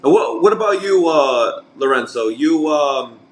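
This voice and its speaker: A man's voice: a little high, animated, a little slow, roomy sound, slight background noise. A man hosting a podcast, asking his guests questions in a friendly, casual way. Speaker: podcast host.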